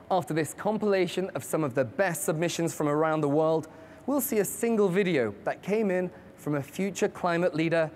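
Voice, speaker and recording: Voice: mid-range voice, darkish tone, a little hiss or hum. A host reading scripted broadcast narration in an upbeat, promotional way. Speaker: program host.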